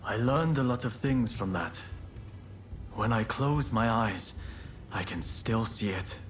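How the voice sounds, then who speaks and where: An actor playing a fiery, proud and idealistic young samurai in a video game, with earnest, formal phrasing. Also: male voice, on the low side, lively intonation, slow, faint music behind. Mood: reflective, warm. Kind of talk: video game cutscene acting.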